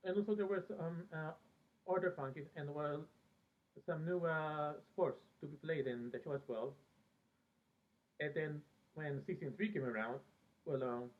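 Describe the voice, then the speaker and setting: A man from Peru who later lived in America, talking casually and reminiscing in a very hesitant, halting way with frequent pauses mid-sentence. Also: on the high side. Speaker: fan vlogger. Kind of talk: casual rambling reminiscence about a TV show. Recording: thin phone-like band-limited sound.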